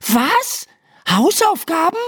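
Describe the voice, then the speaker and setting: A woman voicing a young girl in a children's story, high and youthful, exclamatory and emphatic. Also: sing-song, slow, fairly bright tone, heavily acted. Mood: alarmed, flustered. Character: Laura, a young girl, alarmed then bossy in her dream.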